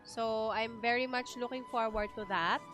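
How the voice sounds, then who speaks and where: A woman's voice: fairly high pitch, steady tone, normal speed, a bit bright, soft background music. A woman with a Filipino accent hosting a game livestream, chatting casually and thinking aloud as she switches between two languages.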